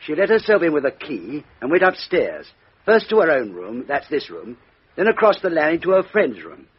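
An actor with an English accent playing a dutiful police constable giving a formal report in a 1950s radio drama. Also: male voice, on the high side, huge pitch swings, normal speed, slightly rough, heavily acted. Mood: matter-of-fact. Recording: muffled old radio recording.

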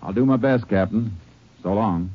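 An American actor playing a shrewd, matter-of-fact Texas Ranger who also narrates a 1940s radio western in a clipped, deliberate way. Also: male voice, lowish voice, natural voice. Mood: urgent, purposeful. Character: shrewd, laconic male Texas Ranger investigator. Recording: muffled old-time radio recording, music.

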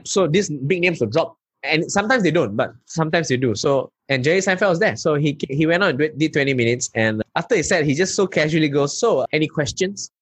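A Malaysian-accented man, a stand-up comic, spins animated stories in a relaxed interview and now and then drops a local "lah". His voice has a husky grain, and the recording is dry and close. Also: medium pitch, dry recording. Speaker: stand-up comedian, guest. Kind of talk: casual interview storytelling.

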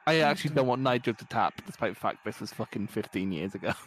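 A man's voice: lowish voice, expressive, quick pace, fairly bright tone, a little hiss or hum. A young Scottish man co-hosting a podcast, chatting casually while watching a match, swearing a lot and laughing often. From Scotland. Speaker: podcast co-host.

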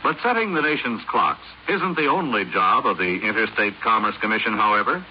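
Animated American male vintage radio announcer. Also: on the low side, fairly quick. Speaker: public service announcer. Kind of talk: scripted 1950s radio announcement. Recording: muffled, hissy 1950s radio recording.

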